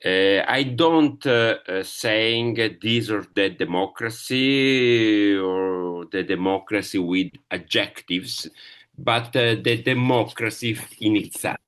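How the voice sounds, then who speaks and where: A man with a very low voice and an Italian accent speaks slowly on a discussion panel. He talks in halting English, pausing often and filling the gaps while he searches for the next word. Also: fairly bright tone, smooth. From Italy. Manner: halting, many fillers, searching for words. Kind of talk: panel talk.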